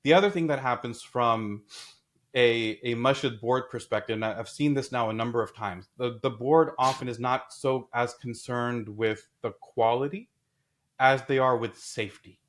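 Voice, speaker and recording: A man talks through his ideas in a relaxed podcast chat. His voice sits in a middle pitch, and he tells his points as lively stories with quoted asides. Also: moderate pace. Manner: animated, storytelling with acted quotes. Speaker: podcast host. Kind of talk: casual discussion.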